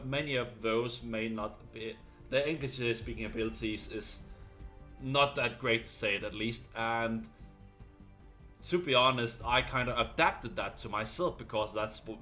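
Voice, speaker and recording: A man's voice: medium pitch, bright, forceful tone. A man from Denmark, hosting his gaming channel and talking earnestly to camera, rambling a little with fillers. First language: Danish. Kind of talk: casual talk to camera.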